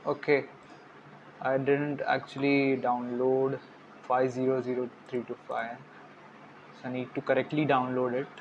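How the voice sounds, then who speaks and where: A young man, speaking quietly and thinking aloud while he works through a programming tutorial. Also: little pitch movement, unhurried, pauses often. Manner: quiet, low-key, thinking aloud with trailing mumbles. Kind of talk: casual screen-recorded coding tutorial. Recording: dull lo-fi mic with clear background hiss.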